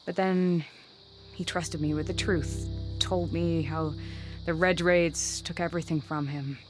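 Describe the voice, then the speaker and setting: A proud young huntress speaks with earnest warmth and flashes of fire, as a woman plays her. Her voice sits a little low. A game score plays clearly underneath. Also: fairly even intonation, unhurried, natural voice. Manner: earnest and wistful, with spirited outbursts. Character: proud young female hunter, brash and loyal. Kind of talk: video game cutscene voice acting. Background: game music score.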